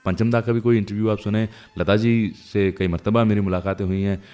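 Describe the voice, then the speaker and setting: A man presenting a radio show in a conversational, nostalgic storytelling way, pausing now and then as he goes. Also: on the low side, little pitch movement, darkish tone, faint music behind.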